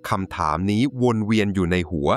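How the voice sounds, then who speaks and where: A man narrating a folk tale from a script in a dramatic, suspenseful, expressive way. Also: deep voice, a little fast. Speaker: storyteller. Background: music.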